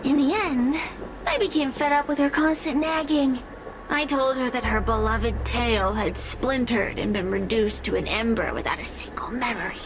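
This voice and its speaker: A woman's voice: fairly high pitch, moderate pace, noisy background, lightly acted. A woman playing a sly, sadistic spirit in a video game, with a taunting, theatrical, cackling manner. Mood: gloating, cruel. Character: sly, sadistic, mocking spirit who relishes others' suffering.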